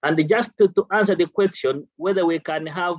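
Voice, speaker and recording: Ugandan male doctor, high-ish voice, lo-fi dry line, formal and measured. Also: no echo. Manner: measured, formal, many fillers. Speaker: family physician, panelist. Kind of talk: conference panel response.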